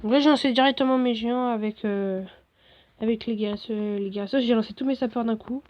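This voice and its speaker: A hoarse woman giving relaxed, rambling commentary over her own game replays, full of fillers and restarts. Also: a little high, brisk, no room sound, highs cut off. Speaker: gaming video host. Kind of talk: casual gameplay commentary.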